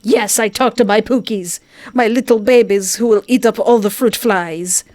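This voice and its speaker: A woman's voice: mid-range voice, steady tone, moderate pace, a little mellow, no room sound. A woman let's player reading game narration aloud, with playful, jokey casual commentary. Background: game music.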